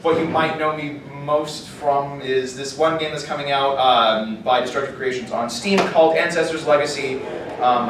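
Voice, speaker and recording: Man on a panel in an echoey room. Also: medium pitch. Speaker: voice actor on a convention panel. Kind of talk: casual panel talk. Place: convention panel room, recorded from afar. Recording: echoey noisy room, distant microphone.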